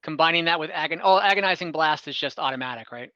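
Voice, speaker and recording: Bright-voiced game master, chuckling often. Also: male voice, on the high side, no room sound, muffled recording. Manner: relaxed, quick rules checks with little laughs. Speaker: dungeon master. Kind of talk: running an online tabletop game.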